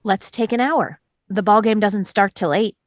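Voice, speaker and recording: Dissenting juror, female actor: earnest, thoughtful, measured, medium pitch, very dry recording. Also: no echo, lightly acted. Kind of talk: dramatic table read of a stage drama.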